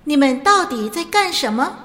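A woman's voice: sits high, expressive, normal speed, a bit bright, long reverb tail, slight background noise. A woman playing a stern, commanding angel who questions souls at heaven's gate in an audio drama. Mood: stern, challenging. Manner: commanding, interrogating.

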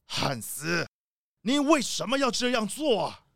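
A man's voice: high-pitched, very animated, a little slow, gravelly, background noise, full character voice. A man playing a blustering leopard boss and father in a children's radio play, with an emphatic, dramatic delivery. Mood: remorseful.

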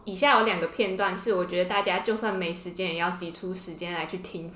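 A woman's voice: lowish voice, steady tone, fast talker, a bit bright. A woman with a Taiwanese Mandarin accent co-hosting a podcast in casual, gushing fangirl chat. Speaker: podcast co-host. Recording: roomy indoor echo.

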